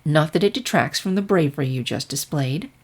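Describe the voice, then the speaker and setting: A woman voicing a gentle adult alicorn princess and mentor in a story, soft, composed and reassuring. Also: sits low, expressive, brisk, husky, voice pushed down, full character voice. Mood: calm, apologetic.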